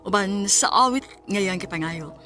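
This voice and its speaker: A woman with a Cebuano Filipino accent reading scripted radio announcements in a formal, measured way over music. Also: mid-range voice, expressive, bright, forceful tone.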